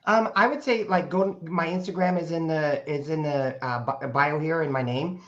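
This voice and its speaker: Hoarse raspy man, muffled lo-fi audio. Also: fairly high pitch, normal speed, a bit bright. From Portland, Oregon, USA. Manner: rambling with frequent fillers and restarts. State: hoarse from speaking over the weekend. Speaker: guest. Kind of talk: casual conversational storytelling. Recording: dull lo-fi audio.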